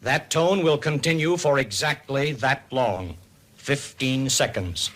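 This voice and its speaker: A man with an American accent narrating a 1950s civil defense radio program in a formal, deliberate, authoritative announcer style. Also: medium pitch, noisy background, natural voice. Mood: grave, instructive.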